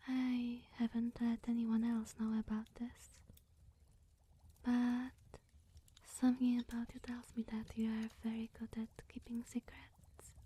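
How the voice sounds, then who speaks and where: A woman voicing a sweet, bashful, scatterbrained young witch in a soft, partly whispered ASMR roleplay, coy and rambling with musing hums. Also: a little high. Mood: coy, confiding. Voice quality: soft, breathy.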